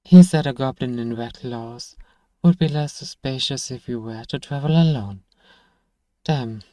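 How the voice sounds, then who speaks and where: A man playing a gruff, sardonic but grudgingly kind apothecary shopkeeper in an ASMR fantasy roleplay, dryly teasing and sighing as he talks to one listener. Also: medium pitch, measured pace, dark tone, dry recording, natural voice. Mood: stern, grudging.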